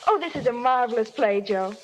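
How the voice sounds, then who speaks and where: An actress playing a chatty housewife in a 1930s radio advert, explaining earnestly like a salesperson. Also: female voice, fairly high pitch, lively intonation, fairly quick, lightly acted. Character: bright, chatty housewife praising beauty soap. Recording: faintly noisy old radio recording.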